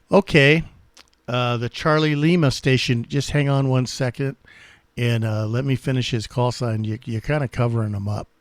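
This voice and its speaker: A man hosting casual amateur radio contacts, talking in a friendly, halting way. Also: medium pitch, steady tone, no room sound. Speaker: amateur radio operator and channel host.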